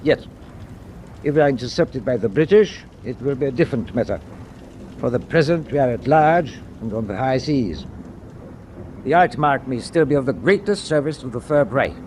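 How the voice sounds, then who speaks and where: An actor playing a stern, resolute German naval captain in a radio drama, speaking in a formal, curt and authoritative manner. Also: male voice, mid-range voice, animated, moderate pace, a little mellow, slight background noise, close to an everyday voice. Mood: grave, resolute.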